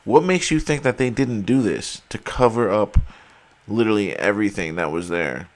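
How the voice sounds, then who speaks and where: A man's voice: on the low side, expressive, moderate pace. A man talking casually and emphatically to his viewers, saying he is losing his voice. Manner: emphatic, argumentative, addresses viewers as guys. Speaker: video host.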